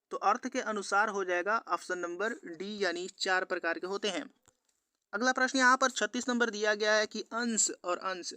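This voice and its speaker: A man's voice: high voice, pushed and bright, clean tone, no echo, studio quality. A man presenting an exam-prep quiz lesson, reading out questions and answers in an instructional, repetitive way to viewers. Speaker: exam-prep teacher on a study channel.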